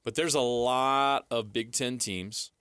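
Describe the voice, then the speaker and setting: A man's voice: medium pitch, slow, fairly bright tone, clean tone, no echo, studio quality. An American man hosting a sports talk show, discussing and arguing with emphasis and rhetorical questions.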